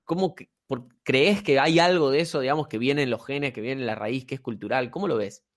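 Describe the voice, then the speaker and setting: A man's voice: medium pitch, a little fast. An Argentine man hosts a podcast conversation in a loose, casual way. He stumbles through fillers and repeats words as he gathers his thoughts. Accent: Argentine Spanish. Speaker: podcast host. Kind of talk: conversational interview.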